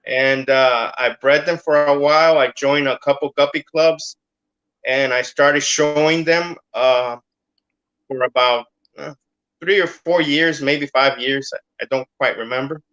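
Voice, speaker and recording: A man's voice: mid-range voice, fairly even intonation, measured pace, fairly bright tone. Hesitant middle-aged man on a dull call. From Cuba. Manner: hesitant, many fillers. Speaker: guest. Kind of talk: casual interview.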